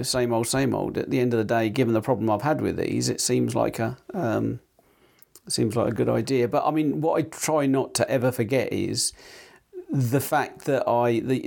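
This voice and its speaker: A man's voice: medium pitch, gravelly, a little mellow. A man co-hosting a casual tech podcast, rambling with many fillers and restarts while nursing a sore tongue. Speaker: podcast co-host.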